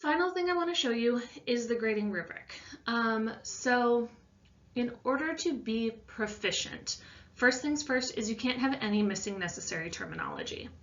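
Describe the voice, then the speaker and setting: A woman's voice: on the high side, very animated, normal speed, a bit bright. A woman teacher giving an informal voice-over lesson, explaining an assignment in a loosely worded, conversational way. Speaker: science teacher. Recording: distant mic, slightly muffled sound.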